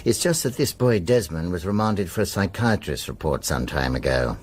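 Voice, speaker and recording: An actor playing an affable older British country police superintendent in a radio drama, musing aloud in a conversational way. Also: male voice, deep voice, lively intonation, normal speed, slightly rough.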